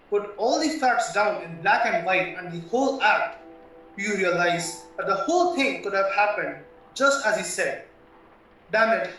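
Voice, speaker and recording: An actor as a shrewd, worldly barrister in a radio drama. He speaks bluntly in a high, bright male voice, a little distant in a very echoey room. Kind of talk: stage-style radio play acting.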